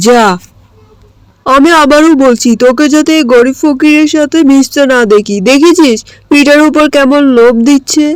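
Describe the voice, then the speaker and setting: A woman's voice: high voice, darkish tone, clean tone, no echo, close to an everyday voice, poor audio. A woman voicing a spiteful, stingy village aunt in a cartoon, with a scolding, commanding and scornful manner.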